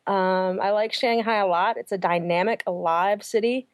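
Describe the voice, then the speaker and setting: A woman's voice: mid-range voice, fairly even intonation, normal speed, no echo. A woman from Atlanta with a Southern US accent, a fifth-grade teacher talking in an easygoing way as an interview guest. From Atlanta, Deep South US.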